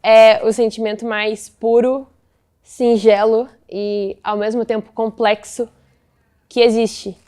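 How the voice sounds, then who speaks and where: A nineteen-year-old woman, answering interview questions about a photo book she co-created in a thoughtful, measured way. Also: a little high, level tone, warm tone, clean tone.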